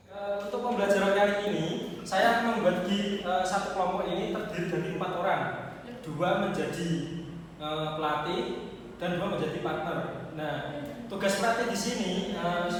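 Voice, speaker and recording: A man's voice: high voice, slight background noise, rough recording. A young man with a Javanese accent, speaking as a student teacher giving classroom instruction.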